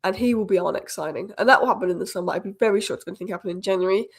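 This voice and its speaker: Fast-talking woman with a cold. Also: mid-range voice, breathy edge. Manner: opinionated, rapid-fire fan commentary. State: has a cold, slightly croaky. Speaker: football channel host. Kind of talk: fast solo news roundup.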